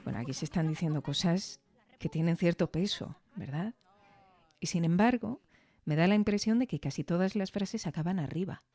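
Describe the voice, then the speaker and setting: A podcast reviewer talks through an analysis in a soft-edged, mellow voice. The delivery is reflective and didactic, often turning to the listener with questions. The sound is very dry. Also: mid-range voice, moderate pace, no echo.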